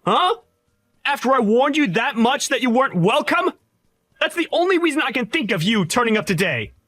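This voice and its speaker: A man voicing an arrogant, contemptuous young male boss who yells insults in a shrill, sneering voice, recorded dry and close for a voiced story. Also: huge pitch swings, normal speed, no echo, faint music behind, heavily acted. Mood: gloating rage. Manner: yelling insults.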